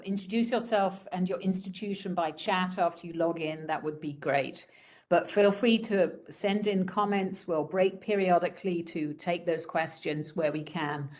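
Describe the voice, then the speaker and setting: A woman with a croaky voice from a respiratory virus, giving an informal slide presentation to students on a video call in a conversational, frank way. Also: on the low side. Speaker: program director presenting a webinar.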